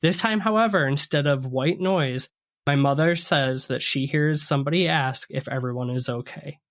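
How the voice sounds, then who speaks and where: A man's voice: on the high side, normal speed, a bit bright. A man co-hosting a podcast, telling spooky stories in a conversational way and ad-libbing as he goes. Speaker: podcast co-host.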